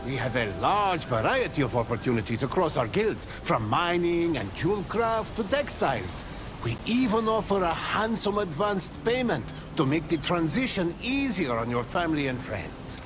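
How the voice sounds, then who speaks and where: A man playing an eager, smooth-talking labor recruiter in a video game. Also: fairly high pitch, big pitch swings, moderate pace, music in the background. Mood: persuasive, brisk. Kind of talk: video game voice acting, sales patter.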